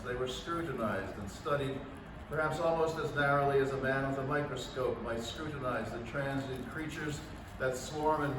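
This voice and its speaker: A man playing a solemn, eloquent narrator in a staged 1930s radio drama reading, speaking in a measured, formal way. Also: medium pitch, close to an everyday voice. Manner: measured, formal, literary delivery. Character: solemn, eloquent male narrator of an alien invasion. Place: public library room, staged reading. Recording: distant, very echoey room with background noise.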